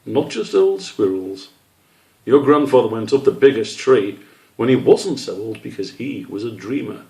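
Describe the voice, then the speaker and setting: A man's voice: lowish voice, moderate pace, vocal fry, a little mellow, poor audio. A man voicing a proud, long-winded father squirrel in a children's story, explaining things with emphasis.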